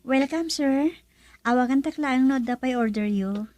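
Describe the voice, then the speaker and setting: Polite young waitress, high and bright, dry radio drama. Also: female voice, a little slow, no echo. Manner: polite, deferential service talk. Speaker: actress.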